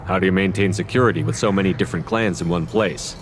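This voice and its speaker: A human military commander from a video game, played by a man. He has a low voice and a calm, direct, matter-of-fact way of speaking, with faint noise in the background. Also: fast talker, lightly acted. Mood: calm, curious.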